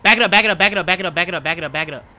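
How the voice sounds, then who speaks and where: A man shouting urgent callouts during an online role-play game on a noisy stream. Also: high voice, very fast.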